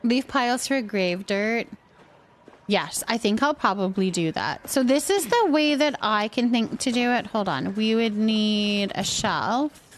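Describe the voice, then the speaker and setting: A woman with a fairly high voice hosts a game livestream in a casual, chatty way. She thinks aloud as she plays and responds to her viewers. Faint ambient noise sits behind her voice. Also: animated, unhurried. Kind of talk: casual chat while playing a building game, reacting to viewers.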